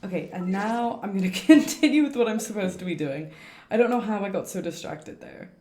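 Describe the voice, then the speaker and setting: A woman's voice: mid-range voice, animated, a little fast, mellow. Chatty woman streamer in an echoey room. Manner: chatty, rambling, thinking aloud. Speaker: game streamer. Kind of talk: casual playthrough commentary. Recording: echoey room, faint game music. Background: faint game music.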